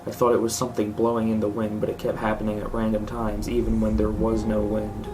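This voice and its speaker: A man's voice: on the low side, monotone, a little fast. A man reading a scripted horror story aloud as narrator. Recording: clearly noisy home recording.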